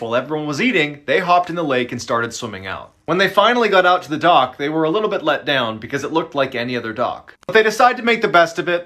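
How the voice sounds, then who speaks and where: A man's voice: on the high side, pushed and bright. A man narrating short, dramatic scripted stories as a storyteller.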